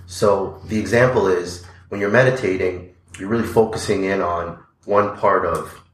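A man's voice: sits low, normal speed, roomy sound, a little hiss or hum, rough recording. A man speaking as a podcast guest, earnest and reflective, with lots of "like" and "you know". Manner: earnest, filler-heavy, reflective. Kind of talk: casual interview conversation.

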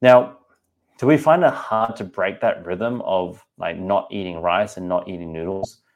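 A man in his thirties with an Australian accent and a low-pitched voice. He hosts a podcast in a casual, candid way and speaks directly to his listeners. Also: a little slow. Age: 30s. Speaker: podcast host and personal trainer.

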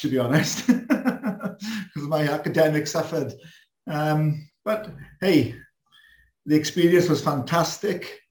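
A Welsh man with a slightly high voice, reminiscing in a loose, rambling way. His first language is Welsh. His voice bounces around a roomy, echoey space. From Wales. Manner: relaxed, rambling with many fillers. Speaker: guest, former pupil. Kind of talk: reminiscing in a group video chat.

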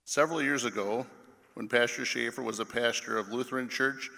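Gravelly man preaching in an echoing church. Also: mid-range voice, fairly even intonation, a little fast, sharp tone. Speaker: preacher reading another pastor's sermon. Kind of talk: formal scripted sermon. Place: large reverberant church. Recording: long echoing church reverb.

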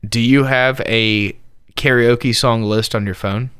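A man's voice: mid-range voice, steady tone, slow pace, a bit bright, smooth, dry recording, studio quality. A man co-hosting a podcast in casual chat, joking and teasing his friend, swearing freely and breaking into bits of song. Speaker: podcast co-host.

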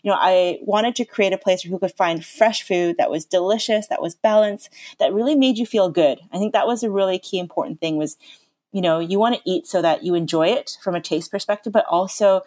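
A woman's voice: mid-range voice, brisk, a little mellow. A woman in her thirties with a Canadian accent, a chef talking through her career in an upbeat, chatty way with many fillers. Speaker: chef and lecturer, podcast guest. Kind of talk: conversational podcast interview.

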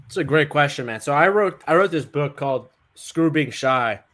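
Upbeat young man, talks fast with "like". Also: a little high, expressive, a bit bright. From United States. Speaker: guest author. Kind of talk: casual interview talk.

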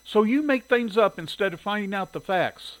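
A fiercely protective mother from a storybook, acted out by a male narrator. The voice sits high and pushes forward with blunt, indignant demands. This is a working-class woman with a temper, who does not back down. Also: animated, unhurried, dry recording, poor audio. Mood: angry, indignant. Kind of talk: audiobook character dialogue.

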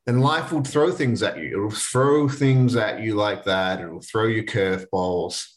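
Young man, fairly low voice, solo podcast host, earnest and casual chat. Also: a little slow. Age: 20s. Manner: casual, earnest, conversational with restarts. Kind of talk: solo motivational podcast talk.

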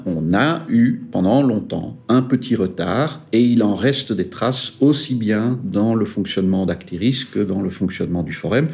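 A man, a regional director of an employers' federation, giving measured interview answers with some hesitant fillers. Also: on the low side, gravelly, a little mellow. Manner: measured, hesitant fillers, stressing key points.

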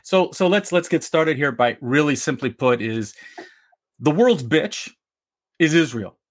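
A man's voice: fairly high pitch, animated, unhurried. A man co-hosting a live opinion podcast, ranting emphatically and often restarting his sentences. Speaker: co-host. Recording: live online stream.

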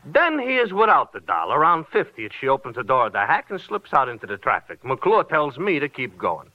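Animated New York cabbie, old radio. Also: male voice, medium pitch, brisk, no echo. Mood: confiding. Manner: expressive, effusive, homely. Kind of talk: 1940s radio acting. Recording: dull vintage 1940s radio recording.

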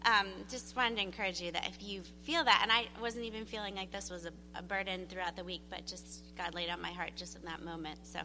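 A woman's voice: lowish voice, fairly even intonation. Earnest woman testifying in a reverberant hall. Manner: earnest, halting, repeats herself. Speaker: church member giving a testimony. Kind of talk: personal testimony to a congregation. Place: large room, speaking to a gathering. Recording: reverberant hall with faint background noise.

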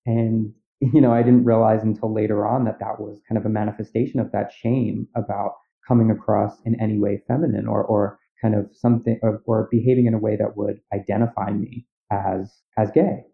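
A man co-hosting a podcast who speaks in a measured, reflective way. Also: fairly low pitch, level tone, gravelly. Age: adult. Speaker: podcast co-host, therapist. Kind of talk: casual conversation. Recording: tinny phone-like audio.

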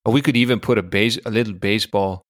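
A man's voice: lowish voice, fast, dry recording, clean studio sound. A man speaking as a podcast co-host, telling stories in a casual, conversational way.

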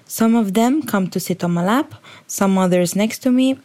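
A woman reading a voice-over translation of a teacher's words in a calm, even manner. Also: medium pitch, normal speed.

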